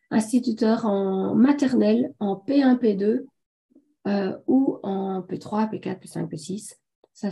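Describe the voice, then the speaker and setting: A woman with a hoarse voice from a sore throat, enthusiastically and a little haltingly presenting a live online webinar as host. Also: medium pitch, warm tone, dull audio. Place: online video conference.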